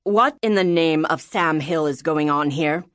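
A woman's voice: sits low, measured pace, fairly bright tone, no room sound, clean studio sound, voice pushed down. A woman voicing a big, stern, commanding father in an audiobook story. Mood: stern, demanding. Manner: commanding, authoritative. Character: imposing, stern, massive father, demanding obedience.

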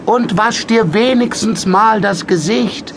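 Man putting on a high-pitched fussy mother. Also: slow, gravelly, background noise, heavily acted. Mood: fussy, calling out. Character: fussy, loving mother of a small boy.

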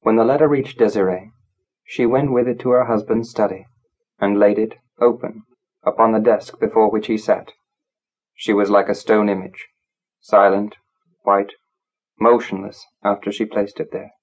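A man narrating a literary story from a script, in a measured, grave, dramatic storytelling manner. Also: fairly low pitch, level tone, breathy edge, highs cut off. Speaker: audiobook narrator.

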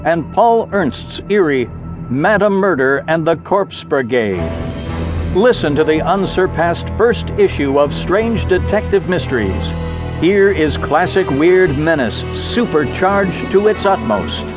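A man's voice: a little high, animated, slow pace, dull audio. A man announcing in a dramatic, emphatic, scripted promotional style. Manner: theatrical, emphatic. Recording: voice over clear music. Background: music.

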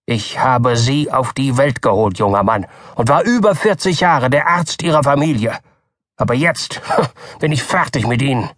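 A man's voice: mid-range voice, measured pace, vocal fry, a bit bright, husky, no room sound, studio quality, heavily acted. A man voicing a stern, irritable old family doctor who speaks in curt, snappish lines. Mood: irritated.

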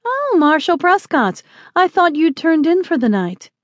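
A woman's voice: high-pitched, animated, moderate pace, dry recording, dull audio, pitched above the performer's own voice. A woman voicing a headstrong young schoolmarm in audiobook dialogue, haughty, defiant and indignant. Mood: sweetly surprised.

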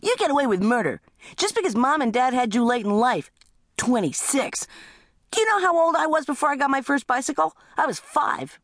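A woman's voice: sits high, very animated, fairly quick, dry recording, studio quality, voice pushed up. A woman voicing an indignant teenage older brother in a comic story, whining and accusing in exaggerated complaint.

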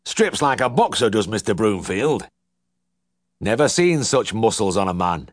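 A man's voice: lowish voice, very animated, unhurried, dry recording, clean studio sound, pitched above the performer's own voice. A man voicing a smug little old male farmer with a nasal West Riding Yorkshire twang, forever praising another vet. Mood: needling boastful.

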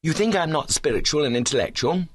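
A man acts out a lovestruck young fellow from a comic storybook, lively and swooping in pitch. He talks in breezy slang, eager and earnest, in a close dry sound. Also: brisk, husky, no echo, full character voice. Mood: indignant. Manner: breezy, earnest, indignant when rebuffed. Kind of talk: comic short-story reading.

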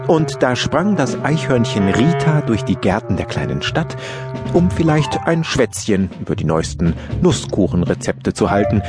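A man narrating a children's story in a playful, expressive way, with musing asides. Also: fairly low pitch, moderate pace, over music. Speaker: audiobook narrator.

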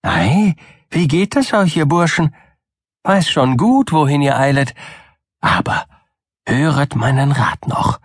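A tiny, nimble magical little man from a children's storybook, acted out by a male narrator. The voice is raised high and swings brightly up and down. He sounds cheerful, friendly and chirpy, in a dry close recording. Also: very animated, takes it slowly, pauses often, husky, no room sound, full character voice. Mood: friendly, advising. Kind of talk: storybook character voice.

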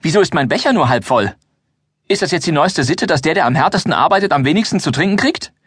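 Grumbling dwarf miner, indignant whine, lively swoops in pitch , played by a man. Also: fast talker, breathy edge, no room sound, studio quality. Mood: aggrieved. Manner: complaining, rising indignant questions.

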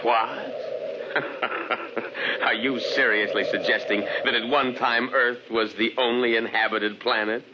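An actor playing a pompous, mocking imperial governor and soldier, in 1950s radio acting with a dull recording. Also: male voice, mid-range voice, fairly even intonation, takes it slowly, heavy background noise, natural voice. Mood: scornful. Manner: pompous, mocking, laughs at others.

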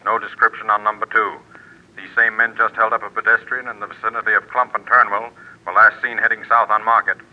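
An actor playing a clipped police dispatcher with a lowish voice, reading calls in an official monotone. It comes through a dull old radio broadcast. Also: male voice, brisk, natural voice.

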